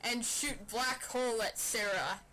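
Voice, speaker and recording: A woman's voice: on the high side, measured pace, sharp tone, poor audio. A woman doing an amateur, playful mock-dramatic story reading with casual asides. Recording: faint fan noise behind.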